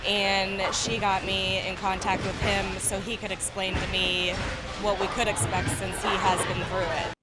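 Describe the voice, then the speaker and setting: A woman's voice: medium pitch, flat delivery, unhurried, heavy background noise. A woman giving unscripted interview answers in everyday language. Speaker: interviewee, mother of a patient.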